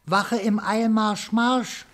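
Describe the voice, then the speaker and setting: A man's voice: high voice, fairly even intonation, slow pace, a bit bright. A dutiful but blundering general of the guard in a fairy-tale radio drama. He sounds pompous and officious, with a clipped, barking military delivery. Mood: barking orders. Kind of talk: radio play acting.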